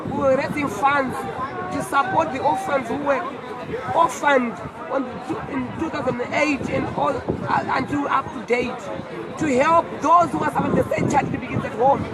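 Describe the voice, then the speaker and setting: Hoarse, tired woman. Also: high voice. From Zimbabwe. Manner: halting, earnest, repeats words. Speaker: walk organizer being interviewed. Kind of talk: emotional street interview. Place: outdoors outside an embassy among a crowd. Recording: loud crowd noise outdoors.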